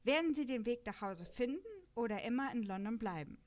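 A woman's voice: fairly high pitch, a little fast. Chatty, bubbly woman host. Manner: lively and chatty, laughs often. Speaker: podcast host. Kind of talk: casual chat.

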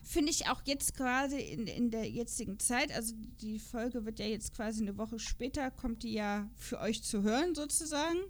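A woman's voice: a little high, expressive, normal speed, few pauses. A woman co-hosting a podcast in a casual chat, speaking in an informal, colloquial and playfully teasing way. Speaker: podcast co-host.

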